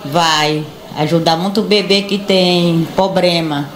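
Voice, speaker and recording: A woman's voice: on the low side, fairly even intonation, slow. A middle-aged or older woman, answering plainly as an interviewee. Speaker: interviewee, grandmother. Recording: echoey room with faint noise.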